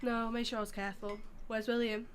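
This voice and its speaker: A frightened, guilt-ridden sister pleads emphatically in an audio drama, played by a woman. Her voice sits fairly high. There is noise behind her. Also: flat delivery, fast, lightly acted. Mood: guilty, upset. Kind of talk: dramatic audio scene acting. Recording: clear background noise.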